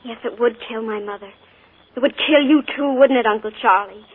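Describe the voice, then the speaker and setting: A woman's voice: on the high side, brisk, slightly rough. A woman playing a young niece who turns coldly defiant toward her uncle in a 1940s radio drama. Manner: cold, defiant, rising. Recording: tinny 1940s radio broadcast.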